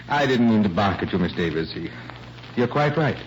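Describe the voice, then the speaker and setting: An actor playing a concerned, paternal family lawyer in a 1940s radio drama, earnest, emphatic and formal. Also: male voice, fairly low pitch, expressive, measured pace. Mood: brusque, unsettled. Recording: muffled vintage radio recording, faint hiss.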